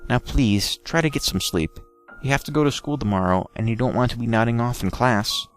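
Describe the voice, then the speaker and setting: A man voicing a sleepy, concerned mother who gently reassures her young son in a narrated scary story. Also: medium pitch, a little fast, faint music behind, voice pushed up, natural voice. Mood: soothing.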